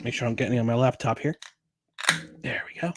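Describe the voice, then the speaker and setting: A man's voice: medium pitch, a little fast, music in the background. A man hosting a casual solo podcast about sports and beer, rambling with chuckles, filler words and restarts. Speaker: podcast host.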